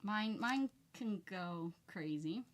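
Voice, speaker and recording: A woman's voice: medium pitch, expressive, takes it slowly. A woman with a light Southern American accent, chatting casually with viewers and telling rambling stories while she works on a craft project. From United States. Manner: rambling, chatty storyteller reading comments aloud. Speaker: craft channel host.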